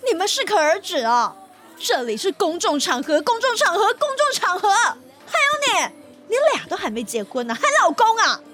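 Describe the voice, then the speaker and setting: A woman's voice: very high-pitched, sing-song, moderate pace, fairly bright tone, soft background music, exaggerated voice. A woman voicing a flustered young female office worker on probation, who stammers and panics easily. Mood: exasperated. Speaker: voice actor in an audio drama. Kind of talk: modern audio drama acting.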